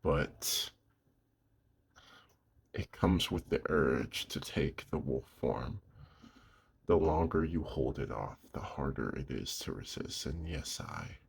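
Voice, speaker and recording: A man playing a gruff, lonely old werewolf in an intimate ASMR roleplay, weary but gentle, speaking slowly and hushed with a husky, gravelly voice. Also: very deep voice, animated, full character voice. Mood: reflective, weary. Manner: slow, hushed, with long pauses.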